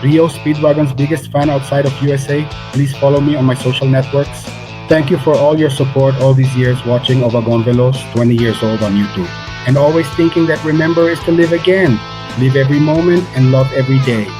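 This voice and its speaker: A man's voice: mid-range voice, steady tone, a little fast. A man from Venezuela giving an effusive, grateful, scripted channel intro. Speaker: channel host. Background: guitar music.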